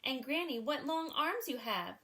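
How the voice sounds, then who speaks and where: A polite, wide-eyed little storybook girl, voiced by a woman reading aloud. Her voice sits higher than the reader's own. Prim and innocent. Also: lively intonation, measured pace, bright, forceful tone, full character voice.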